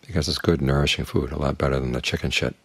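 An older man, a Buddhist monk, giving a calm, plain-spoken evening dharma talk that leans on homely images. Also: very deep voice, fast talker, breathy edge, no room sound.

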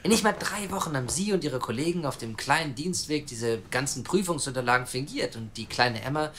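Brash, cynical reporter played by a man, radio drama; rapid delivery, crude and insistent, faint noise behind. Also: medium pitch, expressive, a bit bright, natural voice. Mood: angry, accusing. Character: brash, cynical, pushy male reporter pressing for answers. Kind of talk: naturalistic German radio drama acting.

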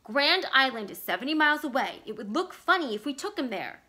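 A woman voicing an exasperated, stubborn husband in a story reading, forceful and argumentative. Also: sits high, very animated, brisk, pitched above the performer's own voice, exaggerated voice. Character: exasperated, stubborn husband arguing with his wife.

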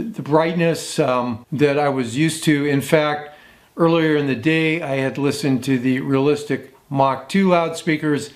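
A man in his sixties hosting a casual, unscripted product review in a matter-of-fact way. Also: on the high side. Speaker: host of vintage audio review.

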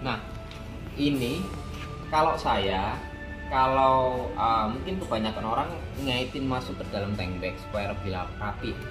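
A man's voice: medium pitch, a little slow, long pauses. A man running a casual, chatty hands-on product demo in an indoor motorcycle shop, talking to viewers like friends. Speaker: motorcycle gear shop owner demonstrating a product. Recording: echoey room with background music. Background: background music.

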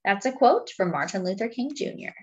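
A woman reading a picture book aloud to young children in a deliberate, teacherly way, adding simple explanations as she goes. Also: medium pitch, expressive, darkish tone, breathy edge, lo-fi sound. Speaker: children's read-aloud storyteller.

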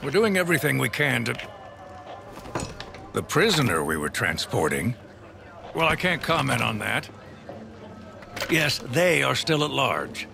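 A tired, gruff police commissioner who speaks slowly. His voice is gravelly. Loud game music plays underneath. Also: mid-range voice, sing-song, heavily acted. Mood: weary. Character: weary middle-aged male police commissioner.

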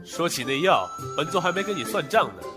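An actor playing an imperious, mocking sect master in a Chinese period audio drama. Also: male voice, on the high side, very animated, fast talker, music in the background. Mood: scornful. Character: haughty, sardonic male sect master of ancient China.

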